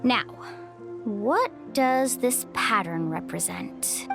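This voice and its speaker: A woman voicing an upbeat, friendly young adventure guide in a video game, expressive and encouraging. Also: fairly high pitch, slow, vocal fry, music in the background, exaggerated voice. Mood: thoughtful.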